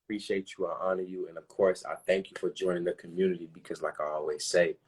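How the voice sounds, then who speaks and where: A man's voice: deep voice, fast talker, slightly rough. Laid-back man hosting a live stream. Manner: relaxed slang, addresses viewers. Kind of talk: casual chat.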